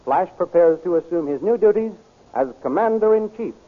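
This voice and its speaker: A man's voice: high voice, animated, moderate pace. A man narrating a scripted serial as a formal, declamatory 1930s radio announcer. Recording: tinny old radio recording, faint music.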